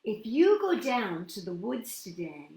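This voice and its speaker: A woman's voice: mid-range voice, big pitch swings, measured pace, mellow. A woman hosting a storytime for small children, talking and singing action songs in a sing-song, encouraging way. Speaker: children's storytime host and singer. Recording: roomy echo, music behind.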